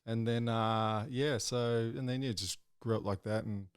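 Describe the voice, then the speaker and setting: A man's voice: medium pitch, fairly even intonation, clear, resonant tone, dry recording, clean studio sound. Man with a slight New Zealand accent. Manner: halting, many restarts and fillers. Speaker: podcast host. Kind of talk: casual chat.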